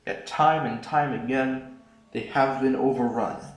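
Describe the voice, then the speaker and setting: A man's voice: medium pitch, steady tone, a little slow, natural voice. A man playing a haughty, paranoid revolutionary politician in a sci-fi audio drama, speaking in formal, pompous oratory. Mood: grave oratory. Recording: roomy, echoing sound.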